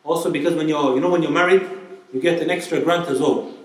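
High-voiced man preaching in an echoey hall. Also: fairly even intonation, fast talker, recorded from a distance. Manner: conversational, asks the audience rhetorical questions. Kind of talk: informal Islamic talk to a live audience.

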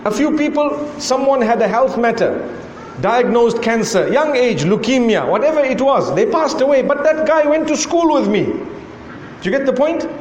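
A man with a Zimbabwean accent delivers a sermon to a live audience, driving his point with a string of rhetorical questions. His voice sits high for a man. The sound carries the long echo of a large hall over a faint room hum. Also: lively intonation, moderate pace, a little mellow, highs cut off. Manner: rhetorical questioning, repeating "why" and "how come". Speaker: preacher.

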